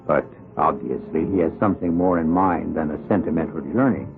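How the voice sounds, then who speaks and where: A man narrating an old radio mystery as its host, deliberate, wry and ominous. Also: rumbling low. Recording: dull old radio recording. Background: music.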